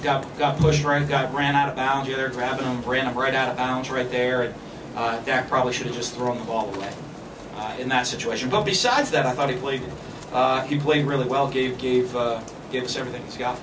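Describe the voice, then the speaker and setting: Man at a podium, echoey room, faint noise. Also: medium pitch. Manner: measured, many fillers and restarts. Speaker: head football coach. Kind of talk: postgame press conference. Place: press room with backdrop. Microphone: podium mic, filmed from a distance. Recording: echoey room, far from the camera, background noise.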